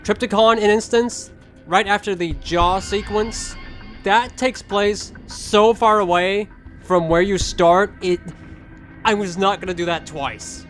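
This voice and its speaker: A man's voice: high-pitched, slow pace, a bit bright, soft background music. A young man giving casual commentary as a gaming video creator.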